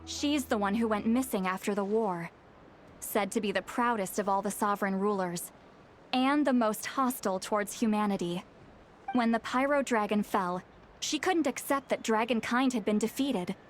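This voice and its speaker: An actress voicing a mischievous sorceress who calls herself old Granny, speaking in a dry, teasing, theatrical way. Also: female voice, mid-range voice, normal speed, a little hiss or hum, close to an everyday voice. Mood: wry. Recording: game soundtrack music behind.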